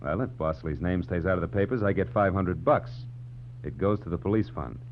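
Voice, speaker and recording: An actor playing a wisecracking hardboiled private detective in 1940s radio noir, with a dry, sardonic delivery. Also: male voice, deep voice, brisk, slight background noise, close to an everyday voice. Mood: bargaining. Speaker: lead actor and narrator of a detective series. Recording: muffled vintage 1940s radio recording.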